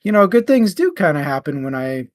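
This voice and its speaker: A man's voice: a little high, sing-song, fairly quick, no room sound. A man co-hosting a casual book discussion, chatting and reading out comments. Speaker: co-host of a book discussion show.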